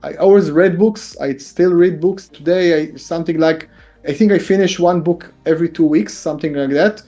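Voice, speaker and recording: Israeli man in his forties, mellow voice. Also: fairly high pitch, moderate pace, soft background music. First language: Hebrew. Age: 40s. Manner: earnest, repetitive phrasing with many fillers. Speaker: guest author and business consultant. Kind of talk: casual interview talk.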